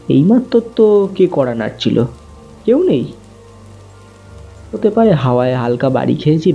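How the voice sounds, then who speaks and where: A man narrating a ghost story slowly and with suspense, in a dark, mellow voice. Faint music plays under him on a muffled, lo-fi recording. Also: medium pitch, creaky. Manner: slow, suspenseful telling. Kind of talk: first-person horror story narration.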